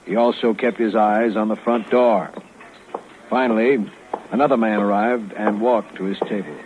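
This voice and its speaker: An actor playing a retired police lieutenant, narrating a 1950s radio crime case in a formal, measured, matter-of-fact way. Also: male voice, fairly low pitch, steady tone, noisy background, lightly acted. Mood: composed, authoritative. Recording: thin, narrowband 1950s radio recording.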